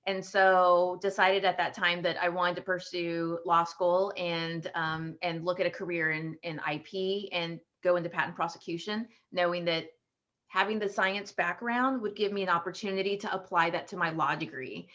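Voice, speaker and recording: A woman's voice: fairly low pitch, steady tone, normal speed, fairly bright tone, muffled recording. A woman from Texas in her forties, telling her career story to students with many ums and restarts. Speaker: panelist, patent attorney.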